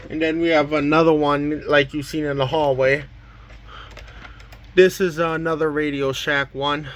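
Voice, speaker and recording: Man, slightly higher-pitched voice, plain and matter-of-fact, informal hobbyist demo. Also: little pitch movement, measured pace. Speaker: collector presenting a weather radio collection. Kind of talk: casual show-and-tell demonstration.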